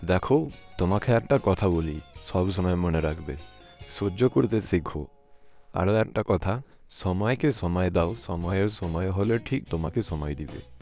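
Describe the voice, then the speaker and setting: A firm, stoic younger brother from a village cartoon acted by a man. His voice is very low, with faint music behind. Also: lively intonation, measured pace, a little mellow. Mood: firm, advising. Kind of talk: scripted cartoon dialogue.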